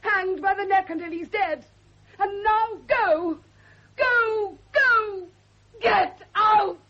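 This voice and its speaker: A woman's voice: very high-pitched, takes it slowly, sharp tone, full character voice. An actress playing a bitter, jealous woman, cold and scornful, in a radio drama. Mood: venomous fury. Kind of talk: 1970 radio drama acting. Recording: dull, band-limited old radio recording.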